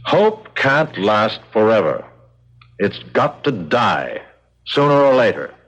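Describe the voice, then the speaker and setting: A dull old radio recording carries a callous male prison keeper. His voice is lowish with a lot of movement in it, and he speaks in measured, resigned, grimly patient phrases. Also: sing-song, a bit bright, husky, heavily acted. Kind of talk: 1970s radio drama acting.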